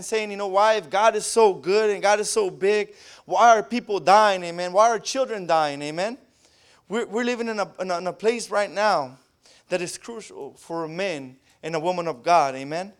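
A man with a Spanish accent preaching a sermon, tacking an amen onto nearly every phrase and rising into loud fervent bursts. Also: sits high, clear, resonant tone. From Southern California. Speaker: pastor.

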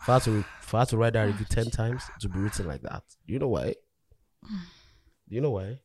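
A man's voice: on the low side, expressive, fairly quick, darkish tone, husky, no room sound, clean studio sound. Insistent man ranting on a podcast. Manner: emphatic, insistent, repeats himself. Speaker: podcast host. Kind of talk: heated podcast debate.

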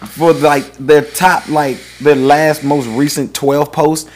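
A man co-hosting a podcast, animated and joking, laughing often as he talks. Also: fairly high pitch, slow pace, slight background noise. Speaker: podcast co-host. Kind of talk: casual chat.

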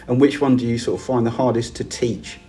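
A man interviewing a guest, reading out questions in a relaxed, somewhat hesitant way and often repeating his words. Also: medium pitch, brisk, faint music behind.

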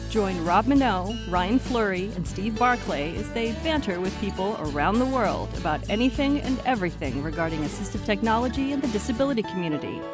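A woman's voice: medium pitch, animated, fairly quick, hardly any pauses. A woman reading a podcast's scripted intro as announcer. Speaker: show announcer. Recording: over loud theme music.

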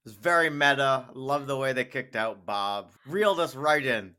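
Bright, wry male podcast co-host. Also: medium pitch, moderate pace. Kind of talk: animated episode recap with co-host.

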